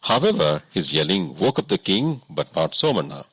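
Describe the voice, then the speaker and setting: Husky man reading an animated story. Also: deep voice, normal speed, no room sound. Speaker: volunteer audiobook reader. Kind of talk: scripted audiobook story narration.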